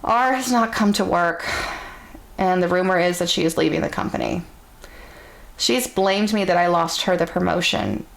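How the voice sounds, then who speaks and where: A woman reading a story aloud in a casual, conversational way, theatrical and amused, with pauses and murmured asides. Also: medium pitch, gravelly, low-quality recording.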